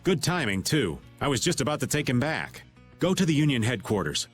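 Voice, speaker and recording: An actor playing a laid-back, folksy, teasing middle-aged rogue in a video game. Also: male voice, medium pitch, very animated, brisk, faint music behind, close to an everyday voice. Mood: casual, frank.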